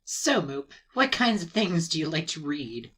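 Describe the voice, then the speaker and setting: A woman's voice: low-pitched, big pitch swings, a little slow, fairly bright tone, a little hiss or hum, voice pushed down, heavily acted. A woman voicing an upbeat, friendly schoolgirl club member in a visual novel game, sounding encouraging.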